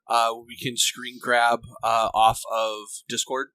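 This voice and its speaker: A man's voice: mid-range voice, little pitch movement, bright, forceful tone, clean tone, dry recording, rough recording. A man co-hosting a casual podcast, chiming in with joking asides. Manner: joking, chimes in with quick asides. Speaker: podcast co-host. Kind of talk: casual chat.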